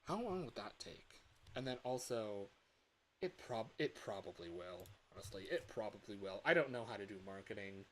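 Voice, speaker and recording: A man in his early twenties chatting casually on a livestream as a game developer, rambling in an excitable, self-deprecating, giggly way. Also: on the low side, moderate pace, low-quality recording. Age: early 20s. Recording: hissy home livestream audio.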